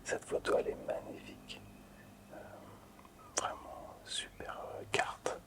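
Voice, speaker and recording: Whispering man, soft ASMR host. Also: slow. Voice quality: breathy, unvoiced whisper. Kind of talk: whispered ASMR presentation of collectible cards.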